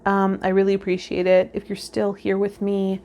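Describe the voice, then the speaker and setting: A woman hosting a vlog as a tarot reader, talking to viewers in a gentle, reflective way and offering spiritual guidance. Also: medium pitch, completely flat delivery, moderate pace. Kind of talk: casual spiritual guidance talk to viewers, partly read out.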